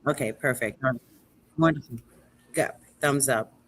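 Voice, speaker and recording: A woman hosting a relaxed live interview, speaking emphatically and repeating words for stress. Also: a bass voice, moderate pace, breathy edge. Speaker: live show host and museum board president. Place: remote video call livestream.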